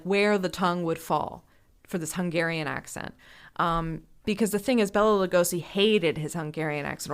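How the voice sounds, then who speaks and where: Podcast co-host: a woman in casual film chat, lowish voice, smooth and clear, full of asides. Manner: chatty, fillers, sets out production details.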